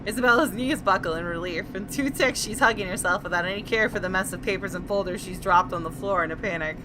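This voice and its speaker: A woman's voice: mid-range voice, fast talker, noisy background. A woman hosting a gaming video, reading visual novel narration aloud with dramatic expression.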